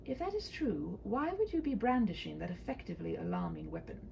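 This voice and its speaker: A woman's voice: medium pitch, wildly swooping pitch, brisk, creaky, a little mellow, a little hiss or hum. A woman voicing a prim, tender-hearted young princess in a comic fairy tale, earnest and formal.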